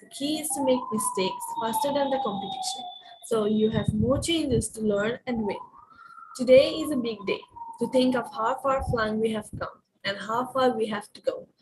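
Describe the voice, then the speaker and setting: Young woman, event host, higher pitch, ornate formal welcome, faint ambience. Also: background noise. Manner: elaborate, ornate welcoming address. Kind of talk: formal ceremony hosting.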